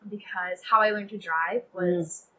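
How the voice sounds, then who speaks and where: A woman's voice: on the low side, steady tone, unhurried, pushed and bright, dull audio. A young woman chatting casually as an interview guest, speaking in an effusive, emphatic way peppered with "like". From Texas, United States. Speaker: playwright guest.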